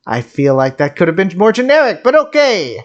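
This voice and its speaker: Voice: medium pitch, big pitch swings, a little fast, lo-fi sound. Casual, rambling streamer commentating on a game.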